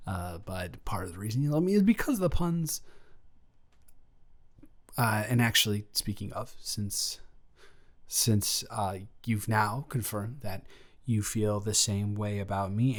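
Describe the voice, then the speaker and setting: A man playing a flustered young best friend in love, halting and self-deprecating, in an improvised romantic audio roleplay. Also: on the low side. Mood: bashful, giddy.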